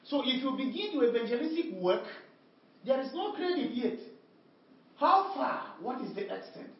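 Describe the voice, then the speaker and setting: A woman's voice: high voice, big pitch swings, normal speed. Woman preaching in an echoey hall. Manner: repetitive call-and-response preaching, emphatic refrains. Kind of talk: sermon. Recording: echoey hall, distant mic, dull sound.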